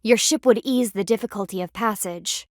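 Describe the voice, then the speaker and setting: A woman's voice: medium pitch, moderate pace, dry recording, clean studio sound, close to an everyday voice. A woman voicing a stern, proud panda warrior in a video game, with a formal, commanding, clipped manner. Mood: stern, businesslike. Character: stern, proud anthropomorphic panda woman, loyal military guardian.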